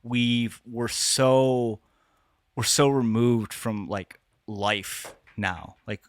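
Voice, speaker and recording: A man talks loosely on a podcast, circling through restarts and swearing as he works toward his point. His pitch sits in a middle range. The recording is dry and close, with no room sound. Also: steady tone, extremely slow, no echo. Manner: rambling, lots of restarts and swearing. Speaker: podcast co-host. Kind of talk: casual chat.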